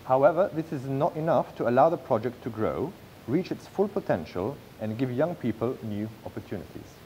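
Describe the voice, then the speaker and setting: A man in his thirties presenting a business pitch from a script on stage, with occasional slips and restarts. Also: lively intonation, brisk, mellow, a little hiss or hum, poor audio. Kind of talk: read-aloud business pitch. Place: large theatre stage.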